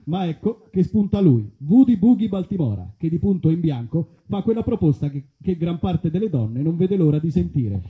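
Highish male comic narrator, slightly dull recording. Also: very animated, normal speed, few pauses. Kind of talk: mock-solemn comic stage narration.

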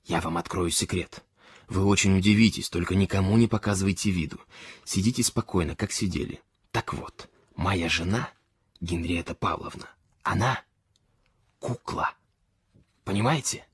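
Man reading as small melancholy gentleman, storybook character, shy, eccentric, confiding, hesitant, clearly enunciated, close dry sound. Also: low voice, moderate pace, long pauses, dry recording. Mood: secretive, hushed.

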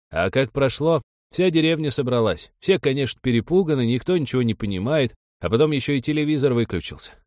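A man's voice: mid-range voice, dry recording, clean studio sound, pitched above the performer's own voice, lightly acted. Man playing stubborn, folksy old villager. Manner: folksy, grumbling, colloquial. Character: stubborn old village man, plain-spoken war veteran.

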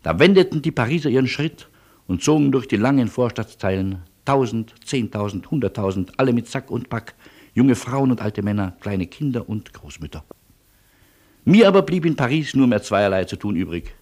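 An actor playing a wry, anxious middle-aged refugee in a radio play, reasoning with deliberate irony and old-world courtesy. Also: male voice, mid-range voice, animated, darkish tone. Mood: ironic storytelling. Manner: deliberate, pointed, ironic reasoning. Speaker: lead character and narrator. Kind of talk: theatrical radio play acting and narration.

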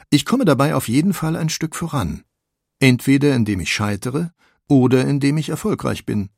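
An actor voicing a reflective, searching artist who muses on his work in a deliberate, pensive way in an audio portrait. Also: mid-range voice, vocal fry, darkish tone, husky, no room sound, clean studio sound, heavily acted. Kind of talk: acted reading of an artist's quotations.

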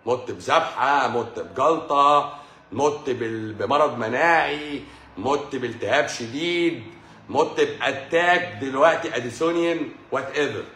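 A man's voice: mid-range voice, normal speed, fairly bright tone. Emphatic Egyptian man lecturing, roomy sound. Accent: Egyptian Arabic. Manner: lecturing emphatically, repeating key phrases, mixing in English medical terms. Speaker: doctor giving a health livestream. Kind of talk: livestream lecture with viewer questions. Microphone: livestream microphone.

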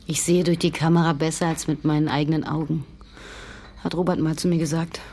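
Sad but blunt young woman, radio drama, fairly low voice, asks pointed questions. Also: flat delivery, a little fast, mellow, a little hiss or hum. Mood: searching. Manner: direct, questioning. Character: grieving, direct young woman, a dead soldier's ex-girlfriend.

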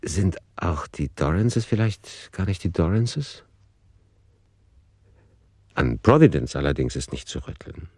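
Male radio play narrator: deep register, factual, analytical, no room sound. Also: sing-song, a little slow, pauses often, darkish tone, husky, no room sound.

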